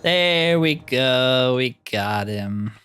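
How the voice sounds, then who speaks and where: A man's voice: mid-range voice, lively intonation, very slow, a bit bright, clean tone. A man giving casual, wry commentary as he plays through a video game, often with dry humor. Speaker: gaming video host.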